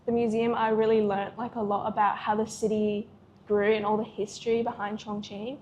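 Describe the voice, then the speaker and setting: A woman's voice: mid-range voice, little pitch movement, normal speed, a little mellow, muffled recording, a little hiss or hum. A young Australian woman answering interview questions in a bubbly way, full of "like". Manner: bubbly, many "like" fillers. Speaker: student at an education camp.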